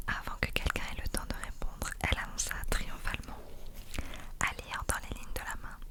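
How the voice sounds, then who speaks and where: Female ASMR narrator for whispered fiction reading; soft, fully unvoiced, hushed delivery throughout. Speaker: ASMR creator reading a novel aloud.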